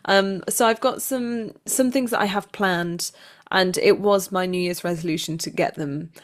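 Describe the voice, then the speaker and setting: A woman's voice: medium pitch, moderate pace. A woman chatting casually as an interview guest, with a playful, self-deprecating manner. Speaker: interview guest, actor and writer.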